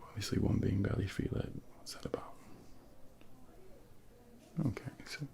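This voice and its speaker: A man role-playing a junior doctor in a soft-spoken ASMR exam, half whispering in a gentle, hesitant, reassuring bedside manner on a close binaural microphone. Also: fairly low pitch, moderate pace, gravelly. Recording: close binaural ASMR, faint background noise.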